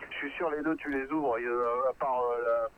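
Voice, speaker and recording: Male, mid-pitched, tinny radio-like sound, faint noise, casual colloquial technical chat. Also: fairly even intonation, moderate pace. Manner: informal, colloquial. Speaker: radio hobbyist taking part in a group conversation.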